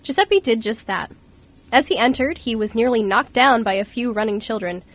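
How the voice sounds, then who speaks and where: A woman's voice: on the high side, animated, normal speed. A woman reading scripted story narration for an amateur radio play.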